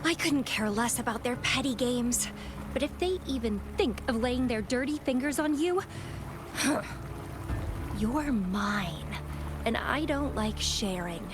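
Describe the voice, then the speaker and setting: A woman's voice: a little high, lively intonation, measured pace, background noise. An actress playing a possessive, teasing, mysterious woman in a video game, with a languid, coy delivery. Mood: scornful, possessive. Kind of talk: video game character acting.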